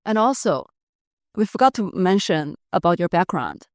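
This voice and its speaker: A woman's voice: lowish voice, animated, moderate pace, dry recording, studio quality. A woman hosting a podcast, asking curious, direct questions in a casual conversation. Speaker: podcast host.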